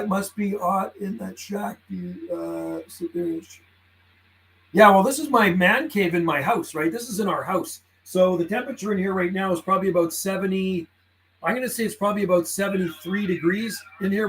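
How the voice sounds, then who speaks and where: A man's voice: high voice, a bit bright, rough recording. A man chatting casually and making radio calls on a live stream, easygoing and friendly, often saying man and buddy. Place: radio room inside his house.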